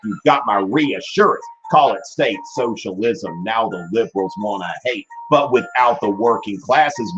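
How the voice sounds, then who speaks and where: A man's voice: medium pitch, fairly bright tone, highs cut off, pitched above the performer's own voice. Man rapping as a boastful Prussian chancellor. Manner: rhythmic rapping in rhyme. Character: boastful iron-willed 19th-century Prussian chancellor, male, older. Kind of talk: educational history rap.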